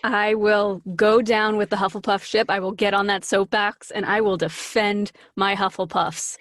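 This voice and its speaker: A woman's voice: medium pitch, level tone, moderate pace, a bit bright, dry recording, studio quality. An enthusiastic, bubbly woman chatting casually as an interview guest. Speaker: podcast guest, voice actor.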